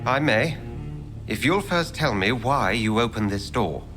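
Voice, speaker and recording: A man plays a composed doctor in a game cutscene, formal and measured, keeping his secrets. His mid-pitched voice sits over clear background music. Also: expressive, natural voice. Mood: sly, composed. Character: urbane, unflappable male doctor, secretive vampire investigator. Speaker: voice actor in a video game. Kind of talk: scripted game cutscene dialogue. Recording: music under the voice.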